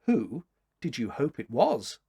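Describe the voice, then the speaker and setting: A man's voice: medium pitch, huge pitch swings, slow, vocal fry, dark tone, breathy edge, heavily acted. A man voicing a stately older woman in a storybook, blunt and teasing, with formal, arch wit.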